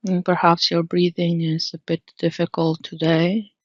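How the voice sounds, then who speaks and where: A woman leading an unscripted guided meditation in a calm, soothing manner, leaving long pauses between phrases. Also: lowish voice, no echo, dull audio.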